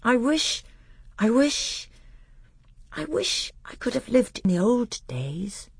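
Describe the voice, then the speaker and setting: A woman's voice: on the high side, sing-song, slow pace, vocal fry, husky, dry recording, studio quality, voice pushed up, heavily acted. A woman voicing a very young, innocent boy prince in a storybook, with an artless, childlike way of talking. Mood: timid, wistful. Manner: artless, breathless childlike babbling. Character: very little boy prince, innocent, eager, dreamy. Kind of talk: acted storybook dialogue.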